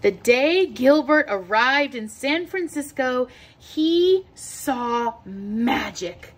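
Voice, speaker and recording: Slow-paced, higher-voiced woman reading a storybook. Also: expressive. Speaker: read-aloud teacher. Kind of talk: children's picture book read-aloud.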